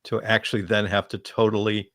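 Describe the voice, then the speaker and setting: A man moderating an unscripted panel discussion, phrasing his thoughts in a measured, hesitant way. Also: on the low side, flat delivery, a bit bright, no echo, studio quality.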